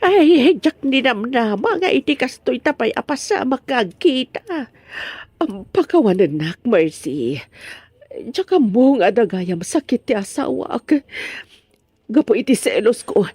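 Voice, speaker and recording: A woman's voice: fairly high pitch, sing-song, unhurried, darkish tone, slightly rough, dry recording, exaggerated voice. A woman acting in an Ilocano drama as distressed, argumentative women, exclaiming emotionally with a Filipino Ilocano accent. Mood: remorseful.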